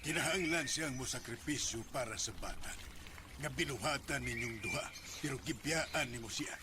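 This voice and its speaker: A man's voice: mid-range voice, moderate pace, very noisy, natural voice. A man acting a frank, reproachful friend in a Bisaya radio drama, with a Cebuano Filipino accent and an earnest, admonishing manner. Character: concerned male friend, frank, moralising and reproachful.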